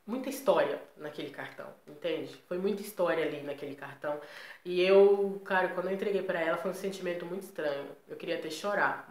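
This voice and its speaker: A Brazilian woman with a Brazilian Portuguese accent talks to her viewers in a casual personal vlog. Her voice sits on the lower side, and her delivery is chatty and emphatic, full of tag questions. The recording has a roomy echo. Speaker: vlogger.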